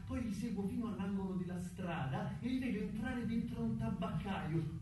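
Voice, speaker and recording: Echoey, high-pitched, cruel recruiter. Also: male voice, noisy background. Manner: menacing, deliberate, declamatory. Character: cruel, coldly rationalizing recruiter who preys on young jobseekers. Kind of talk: theatrical stage monologue in a radio drama. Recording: echoey, distant, with music behind. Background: music.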